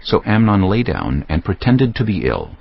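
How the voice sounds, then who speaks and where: A man's voice: low voice, wildly swooping pitch, moderate pace, vocal fry, husky. A man solemnly narrating a dramatized scripture story from a script. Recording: slightly dull audio with music bed.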